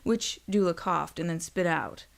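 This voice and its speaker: A woman narrating a scripted audio story in a deliberate, literary storytelling manner. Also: lowish voice, vocal fry.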